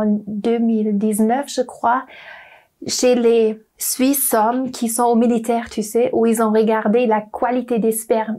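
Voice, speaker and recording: A woman's voice: a little high, warm tone. A young woman doctor speaking as an interview guest in a language that is not her first, hesitant and often searching for words. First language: not French. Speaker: doctor at a clinic.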